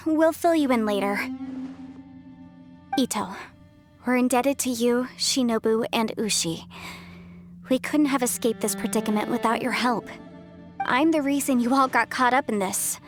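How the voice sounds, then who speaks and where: A woman's voice: a little high, close to an everyday voice. A woman playing an earnest, kindly and cheerful young woman in a video game, speaking politely and sincerely. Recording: faint music behind the voice.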